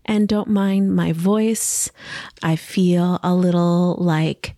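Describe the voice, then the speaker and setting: A woman hosting her own podcast in a casual, reflective monologue, speaking softly and gently, her voice a little scratchy from allergies. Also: on the low side, fairly even intonation, dry recording, studio quality. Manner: slow, soft and gentle, with drawn-out pauses. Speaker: podcast host. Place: home by a river, indoors, wind outside.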